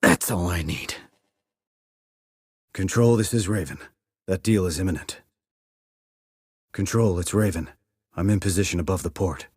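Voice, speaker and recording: An actor playing a terse, dry, confident military sniper in a video game, with a husky voice and clipped, controlled reports. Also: male voice, deep voice, measured pace, pauses often, no room sound. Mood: focused. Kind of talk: scripted military game dialogue.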